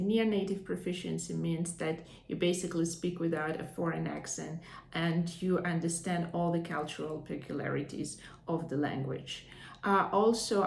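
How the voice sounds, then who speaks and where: A woman in her thirties with a Russian accent gives an English lesson in a measured, explanatory way and pauses now and then on a filler. Her voice sits a little low for a woman. The room around her is noticeably echoey. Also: gravelly. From northern Russia. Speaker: English teacher. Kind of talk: language lesson to camera.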